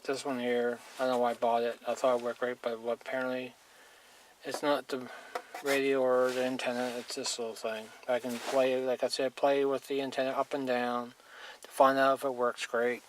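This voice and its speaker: A clearly noisy amateur recording with clicks carries a man's voice at an average pitch. He talks casually, like a hobbyist showing his gear hands-on. His manner is blunt and plain-spoken, with some mild crudeness. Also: little pitch movement, moderate pace. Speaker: hobbyist reviewer demonstrating radio gear.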